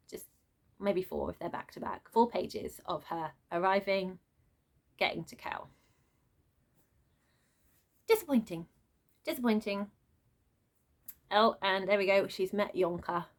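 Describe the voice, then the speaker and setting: A woman giving an unscripted book review as a rant, with an animated, sarcastic way of talking. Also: mid-range voice. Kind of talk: unscripted book rant with read-aloud excerpts.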